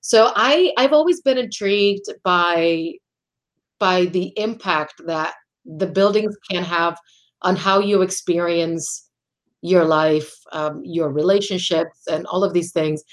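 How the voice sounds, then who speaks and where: A woman's voice: fairly low pitch, slow pace. A middle-aged woman from Puerto Rico, a guest architect, answering interview questions in a thoughtful, conversational way, often restarting phrases and laughing between thoughts.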